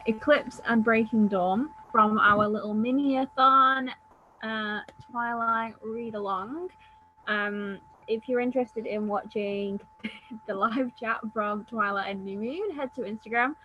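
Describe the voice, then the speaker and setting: A woman's voice: on the high side, measured pace, bright, forceful tone. A tired woman hosting a casual livestream chat, talking in a self-deprecating way. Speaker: book channel host. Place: video call. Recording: clearly noisy background.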